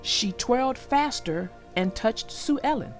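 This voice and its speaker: A woman's voice: on the high side, expressive, a little slow, soft background music. A woman reading aloud for children as a storyteller, chatting directly to her young listeners in a conversational way.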